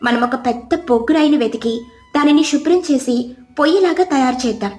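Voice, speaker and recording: Woman voicing a high-pitched, kindly bird leader. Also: fast talker, a little mellow, roomy sound, natural voice. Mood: directing. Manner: encouraging, directing. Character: kindly female bird leader organising the cooking.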